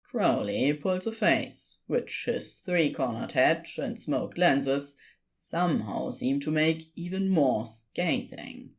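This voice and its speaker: A woman reading scripted story narration in an expressive storytelling style. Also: sits low.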